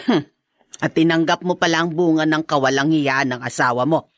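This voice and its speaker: A woman's voice: sits low, moderate pace, no room sound, lightly acted. An actress with a Filipino accent playing a protective, worried older mother in a radio drama, sighing and resigned. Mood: resigned, concerned.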